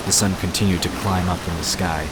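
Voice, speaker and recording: A man narrating a scripted fantasy story in a measured storytelling manner. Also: low-pitched, fairly even intonation. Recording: noisy, with water sound effects behind. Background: rushing water ambience.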